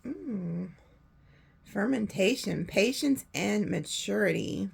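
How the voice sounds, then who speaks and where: A woman with a hoarse voice, chatting casually through a tarot reading and laughing often. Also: mid-range voice, expressive, slow pace. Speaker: tarot reader.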